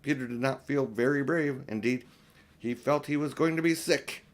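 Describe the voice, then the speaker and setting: A man's voice: medium pitch, normal speed. An older man narrating a children's storybook as an amateur audiobook reader, in a plain, homely manner.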